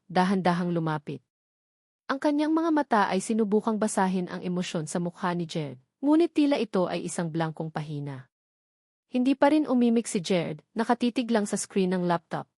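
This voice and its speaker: A woman narrates scripted fiction in a measured, solemn storytelling manner. Her voice sits at a medium pitch. The sound is dry, free of any room echo. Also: a little mellow.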